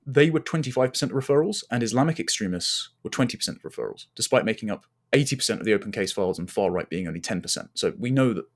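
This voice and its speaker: A man's voice: on the low side, lively intonation, rapid-fire, few pauses. A man speaking as a podcast guest, giving emphatic, articulate political commentary in long, building arguments.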